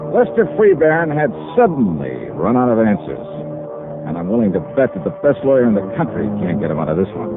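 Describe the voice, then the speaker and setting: An American man playing a sharp, confident freelance insurance investigator in 1950s radio drama, with an insistent, wry, emphatic delivery. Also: mid-range voice, natural voice. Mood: wry, satisfied. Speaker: star of the radio series. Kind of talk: 1950s radio detective acting. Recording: tinny, band-limited old radio broadcast.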